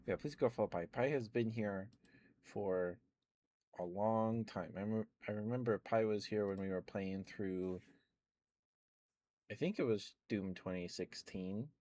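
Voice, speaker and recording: A young man, an ASMR streamer, rambling softly in casual chat with frequent chuckles. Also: on the low side, measured pace. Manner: soft-spoken, rambling, chuckles often.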